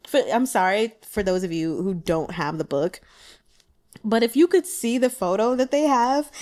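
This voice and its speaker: A woman talking casually through her notes on a book, in a chatty, giggly and animated manner. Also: fairly high pitch. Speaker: audiobook reader and book commentator.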